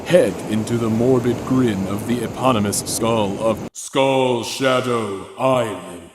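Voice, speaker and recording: A man playing a grave narrator of a boys' mystery adventure, theatrical, mock-serious and deliberate in his dramatic reading. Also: mid-range voice, noisy background, close to an everyday voice. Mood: ominous, grandiose.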